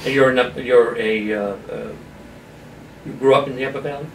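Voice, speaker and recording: A man hosting an informal community interview programme, speaking haltingly with pauses mid-sentence. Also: mid-range voice, steady tone, vocal fry. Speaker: talk show host, chamber of commerce representative. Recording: roomy echo, distant mic, background noise.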